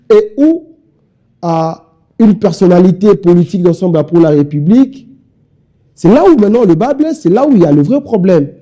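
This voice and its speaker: Bright-voiced young Congolese party spokesman. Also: sits high. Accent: Congolese French. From Kinshasa, DR Congo. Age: young adult. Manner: insistent, repetitive speechmaking. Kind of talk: televised interview.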